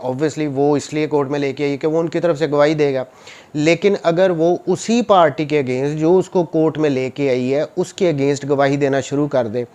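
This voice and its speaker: Higher-pitched Pakistani man lecturing. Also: darkish tone. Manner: switches between Urdu and English, reads legal text slowly and deliberately. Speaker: law lecturer and advocate. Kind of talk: informal law lecture explaining statutes.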